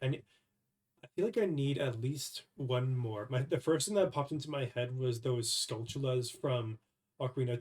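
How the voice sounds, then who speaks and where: A man's voice: medium pitch, darkish tone. A young man with a cold, sounding stuffy, talking casually and hesitantly through a devlog with many fillers and restarts. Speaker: indie game developer. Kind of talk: casual devlog screen recording.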